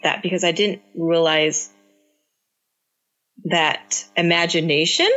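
A woman's voice: lowish voice, fairly even intonation, takes it slowly, fairly bright tone, dull audio. A woman answering interview questions about raising her young child, speaking earnestly and reflectively with frequent restarts, her first language Cantonese. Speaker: parent guest.

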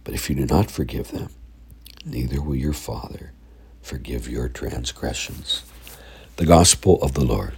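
A man's voice: a little slow, a little mellow, slight background noise. A man leading scripture readings and a short homily as a priest, sounding stuffy from a sinus infection. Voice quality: gravelly, breathy low voice.

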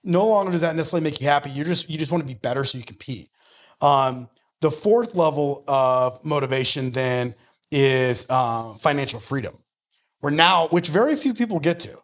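Higher-voiced earnest man in his forties. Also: lively intonation, moderate pace. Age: early 40s. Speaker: podcast guest, mortgage lender and business owner. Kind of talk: conversational interview talk.

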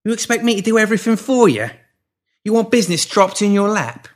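A man performs a coarse street thug's voice pushed high and loud, babbling in panic. The pitch leaps around wildly as he pleads and gabbles. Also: big pitch swings, fairly quick. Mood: gruff menace. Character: male pimp Pinky, coarse thug turned panicked.

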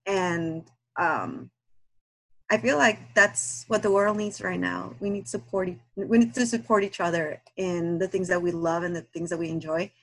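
A woman from Guatemala, a guest on a book podcast, speaking in a hesitant, earnest way with many pauses and fillers. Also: fairly low pitch, fairly even intonation. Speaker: guest listener and aspiring romance author. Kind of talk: casual podcast chat.

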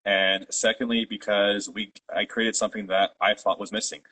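Low husky-voiced man. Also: normal speed, poor audio. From Canada. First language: Polish. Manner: steady, detailed storytelling. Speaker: guest, company founder. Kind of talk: interview.

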